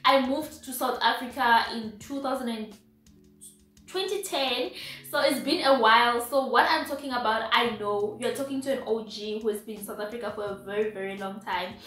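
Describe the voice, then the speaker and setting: A young woman from Zimbabwe chats to her viewers with an animated, slangy flow and a slightly high voice. She sits back from the mic in an echoey room. Faint music plays under her talk. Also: normal speed, recorded from a distance. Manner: chatty, animated, slangy asides. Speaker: vlogger. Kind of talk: casual vlog chat to camera.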